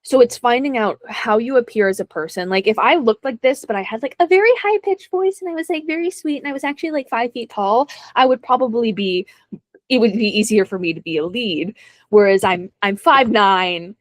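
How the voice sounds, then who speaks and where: A woman's voice: a little high, expressive, fairly quick, dry recording, clean studio sound. A young adult woman chatting as an interview guest, self-deprecating and laughing often. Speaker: guest actor. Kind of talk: casual interview chat.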